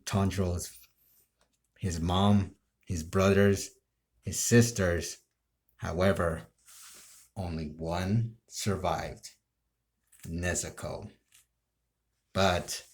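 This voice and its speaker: A man telling a story casually, in halting, broken phrases with frequent restarts. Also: deep voice, darkish tone. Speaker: amateur video creator telling his role-play ideas.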